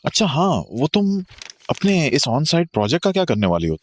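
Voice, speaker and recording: Animated, reassuring husband played by an actor. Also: male voice, on the high side, moderate pace, a little hiss or hum. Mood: easygoing. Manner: conversational, reassuring. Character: Aniket, reassuring, easygoing husband. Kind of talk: audio horror drama acting, Hindi-English dialogue.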